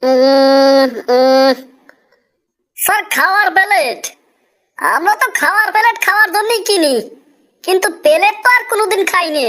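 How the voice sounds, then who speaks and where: A woman's voice: very high-pitched, moderate pace, long pauses, fairly bright tone. A woman voicing a polite, confident cartoon job candidate, explaining answers patiently and deferentially. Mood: pondering, sure. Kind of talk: comic cartoon dialogue.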